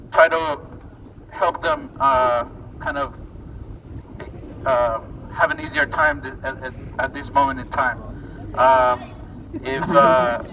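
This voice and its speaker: A man from Ecuador speaking haltingly and earnestly as he gives personal testimony at a rally. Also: mid-range voice, creaky, highs cut off. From born in Ecuador, moved as a child. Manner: hesitant, earnest. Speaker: protest speaker. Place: outdoors on a city street. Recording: distant noisy outdoor recording.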